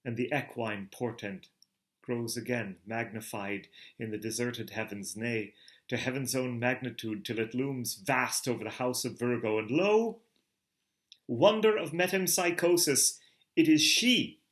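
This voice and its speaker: A middle-aged man giving a theatrical reading of a novel, moving from hushed murmurs to booming calls. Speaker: reader of a novel. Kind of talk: dramatic literary reading.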